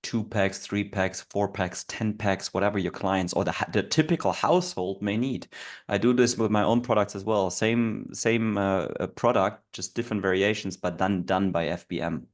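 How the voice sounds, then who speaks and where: A man's voice: lowish voice, moderate pace. A man hosting an informal interview, talking casually to viewers and his guest, often repeating and interrupting himself. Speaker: host.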